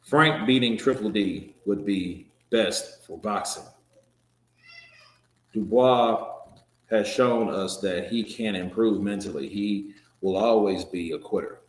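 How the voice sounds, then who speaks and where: A man's voice: lowish voice, slow pace. A man hosting a live boxing stream, reading viewer comments and answering them in loose, joking talk that swears now and then and trails off into restarts. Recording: roomy echo.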